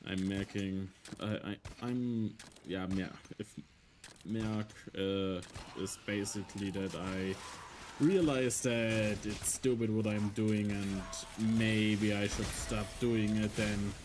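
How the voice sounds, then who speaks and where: A man with a German accent, a German native speaker, casually talking through a game on stream, thinking aloud with hesitant, halting phrasing. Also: on the low side, fairly even intonation, noisy background. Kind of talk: casual gameplay commentary.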